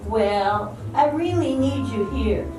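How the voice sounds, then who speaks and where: A woman's voice: a little high, expressive, slow, over music. A woman playing a possessive, sweetly scheming stage star in an amateur radio drama, far off in a very echoey hall. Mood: demanding. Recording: very echoey hall, distant, faint noise.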